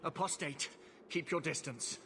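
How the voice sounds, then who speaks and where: Slow, rigid wounded knight. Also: male voice, on the high side, background noise. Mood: hostile, strained. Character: rigid, suspicious wounded male templar knight.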